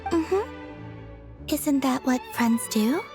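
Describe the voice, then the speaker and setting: A woman's voice: sits high, lively intonation, drawn-out words, over music, heavily acted. Serene, oddly innocent young lady. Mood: placid. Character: serene, innocent, odd young woman, softly candid. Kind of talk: video game voice acting.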